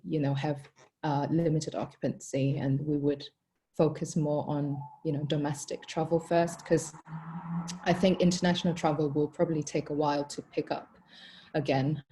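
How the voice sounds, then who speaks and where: Low-voiced young woman, thoughtful and mellow. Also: poor audio. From born in Hong Kong, raised in Italy and Malaysia. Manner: thoughtful, many ums and "you know" fillers. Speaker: hotel co-founder, guest. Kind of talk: casual interview answers.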